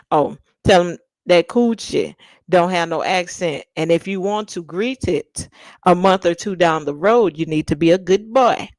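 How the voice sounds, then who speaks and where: A woman's voice: fairly low pitch, darkish tone, no echo. A young woman from Texas with a Louisiana-tinged accent, chatting bluntly and sassily with viewers on her livestream. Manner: sassy, blunt, laughing, slangy storytelling. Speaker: livestream host. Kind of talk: casual chat with live viewers.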